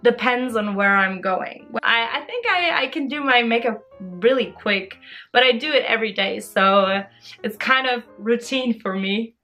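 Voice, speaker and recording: A young woman with a high, bright voice talks in a bubbly, expressive way. She sounds like a creator chatting casually to her followers in a Q&A vlog, with music playing behind her. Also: measured pace. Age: early 20s. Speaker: social media creator answering follower questions.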